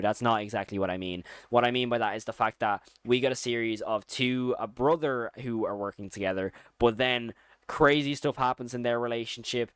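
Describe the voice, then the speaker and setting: Male online host, medium-low pitch, crisp and dry sound, casual, opinionated and enthusiastic delivery with informal slang. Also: a bit bright, no room sound. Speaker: YouTube host ranking horror series.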